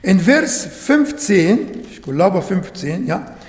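High-voiced guy preaching in german, not his first language, slow and repetitive, lots of echo. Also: big pitch swings. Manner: halting non-native German, repeats phrases, slow and emphatic. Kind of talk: sermon. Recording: echoey hall with long reverb.

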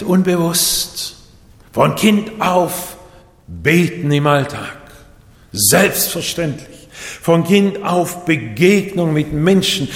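A man from Japan preaching a sermon in a measured way, his voice rising and falling for emphasis. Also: a little high. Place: church hall. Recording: echoey hall, voice set back.

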